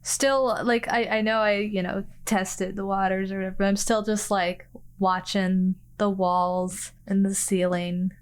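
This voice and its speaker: A woman chatting casually as a player in a tabletop game, describing her moves in hesitant, halting phrases. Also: medium pitch, level tone, clean tone. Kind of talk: casual tabletop roleplay chat.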